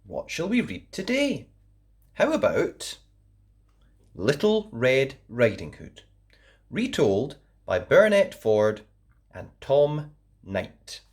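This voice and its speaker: A woman hosting a channel of read-aloud children's stories, reading gently and expressively. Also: extremely low pitch, wildly swooping pitch, slow, pauses often. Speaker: storyteller host.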